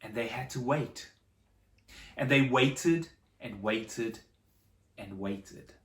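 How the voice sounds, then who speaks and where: A storyteller telling a Bible story to children, with dramatic pauses and repetition for emphasis. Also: medium pitch, a bit bright. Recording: echoey room, distant microphone.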